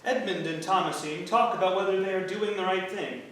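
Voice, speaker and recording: A man's voice: high-pitched, steady tone, fairly quick, fairly bright tone. A man giving theatrical scripted narration as an actor reading a play synopsis. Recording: echoey hall from far off, faint noise.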